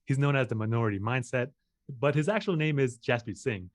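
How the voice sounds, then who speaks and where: A man's voice: mid-range voice, a little fast, dry recording, studio quality. A man hosting a personal finance interview, asking questions in a casual way with many fillers. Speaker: host.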